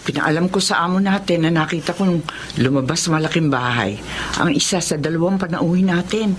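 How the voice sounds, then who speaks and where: A woman's voice: on the low side, a little fast, a little hiss or hum, natural voice. A Filipino woman playing a nosy, fretful middle-aged servant mother in a folksy radio drama style. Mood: inquisitive. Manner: animated, folksy, inquisitive. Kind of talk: Philippine radio drama acting.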